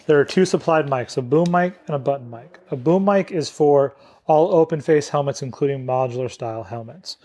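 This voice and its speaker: A man's voice: medium pitch, a little fast, mellow. A man narrating a step-by-step product installation tutorial as a demonstrator.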